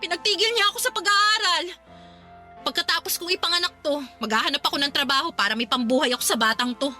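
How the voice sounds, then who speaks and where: High-pitched, sharp-tongued Filipino young woman. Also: quick pace, faint music behind. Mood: bitter, resigned. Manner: sarcastic, blunt, scolding. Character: defiant pregnant young student, bitter, sharp-tongued girlfriend. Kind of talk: Filipino radio drama acting.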